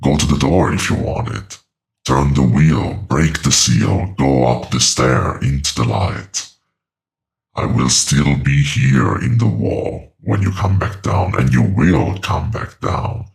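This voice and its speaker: An age-old male demon for horror audio drama: heavy creaking fry, unhurried, calmly menacing and sardonic. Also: extremely low pitch, full character voice. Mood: calm menace. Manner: menacing, calm, deliberate, mocking. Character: ancient patient male demonic entity living in walls.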